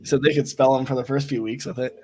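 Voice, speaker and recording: A young man with a touch of rasp reads out sports news in a level, matter-of-fact way, dropping plenty of "um"s. His pitch barely moves, staying flat at a medium height. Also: fast talker. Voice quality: a bit raspy. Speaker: co-host. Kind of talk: casual fantasy football chat.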